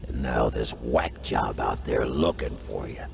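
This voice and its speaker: An actor playing a huge, thuggish mob enforcer in a cartoon game, threatening, with a slangy American delivery. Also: male voice, extremely low pitch, lively intonation, measured pace, gravelly, a little mellow, soft background music, full character voice. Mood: suspicious, menacing.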